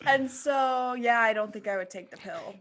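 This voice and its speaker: A woman's voice: on the high side, normal speed, a bit bright. A woman co-hosting a podcast, talking casually with the group. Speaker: podcast co-host. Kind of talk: casual panel podcast talk.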